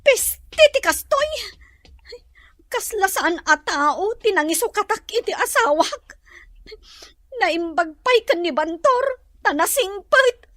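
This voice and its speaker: An actress playing a hurt, pleading young pregnant wife in a radio drama, plaintive and reproachful. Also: female voice, very high-pitched, animated, takes it slowly, sharp tone, no echo, clean studio sound, exaggerated voice. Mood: pleading, tearful.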